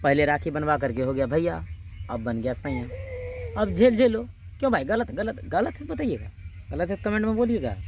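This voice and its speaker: A man's voice: fairly high pitch, big pitch swings, normal speed, a little mellow, slight background noise. A man hosting a YouTube channel with comic reaction commentary, clownish and often repeating his words and phrases.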